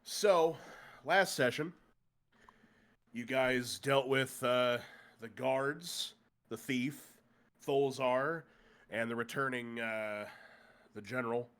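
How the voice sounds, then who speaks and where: A man's voice: mid-range voice, slow pace, pauses often, fairly bright tone. A man with a stuffy, congested nose, recapping the last session as game master in a loose, joking way with many fillers. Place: room with friends at a table.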